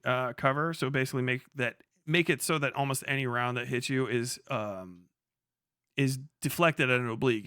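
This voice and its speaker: A man's voice: medium pitch, bright, forceful tone, no room sound, studio quality. A man giving casual, off-the-cuff livestream commentary as a military veteran, slangy and full of swearing. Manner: profane, slangy.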